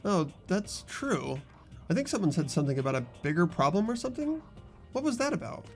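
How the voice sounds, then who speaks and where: A man's voice: sits high, very animated, a little fast, faint music behind. A man playing an ordinary, earnest and uneasy teenage schoolboy narrating a fan-voiced game. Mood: puzzled. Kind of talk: amateur voiced visual novel narration and dialogue.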